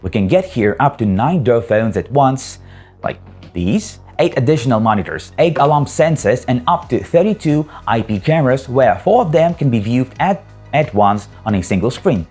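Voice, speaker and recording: A man's voice: mid-range voice, big pitch swings, moderate pace, a little mellow, breathy edge. A man giving an informal, loosely phrased hands-on demonstration of a tech product. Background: music.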